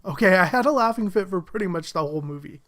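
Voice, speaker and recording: A higher-pitched man talks through a casual movie review with plain enthusiasm. His voice sounds a little stuffy and muffled from a head cold, and he chuckles here and there. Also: lively intonation, quick pace, a bit bright. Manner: upbeat, enthusiastic, chuckles often.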